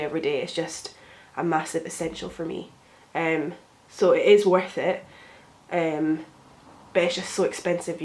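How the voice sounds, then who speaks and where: A young woman with a cold, sounding sniffly, chatting in a rambling way with frequent ums as she does her makeup for a get ready with me vlog at home. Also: fairly low pitch. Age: young adult. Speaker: beauty vlogger.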